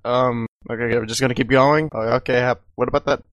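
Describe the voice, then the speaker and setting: A man's voice: mid-range voice, fairly even intonation, fast talker, no echo, highs cut off. A man making wry, offhand remarks in a casual gaming chat.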